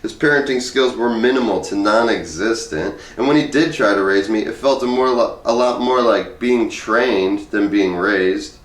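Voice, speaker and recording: A man's voice: fairly low pitch, normal speed, few pauses. A man reading a humorous first-person essay aloud in a conversational, wry storytelling way. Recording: roomy echo, distant microphone.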